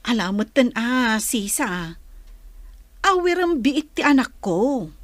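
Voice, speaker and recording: A woman's voice: fairly high pitch, sing-song, takes it slowly, no echo. A woman playing a stubborn, wayward young wife who pleads insistently, in a Filipino radio drama. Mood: pleading, insistent.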